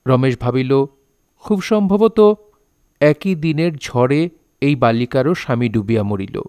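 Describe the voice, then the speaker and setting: A man playing a revered storyteller-author, measured and pompous, narrating a literary audio drama in formal style. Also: medium pitch, expressive, mellow, clear, resonant tone, no room sound, clean studio sound, natural voice. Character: lofty storyteller-author revered as master, imperious and stern.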